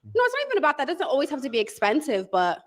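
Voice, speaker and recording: A woman's voice: high-pitched, wildly swooping pitch, very fast, background noise. A woman in her twenties from New York, a dating show contestant speaking firmly and dismissively. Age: 20s. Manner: dismissive, firm, sassy.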